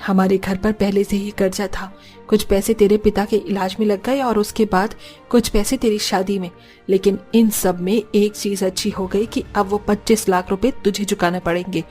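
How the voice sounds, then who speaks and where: A woman's voice: medium pitch, darkish tone, close to an everyday voice. A woman voicing a caring, long-suffering older mother-in-law in a cartoon story, earnest and lamenting.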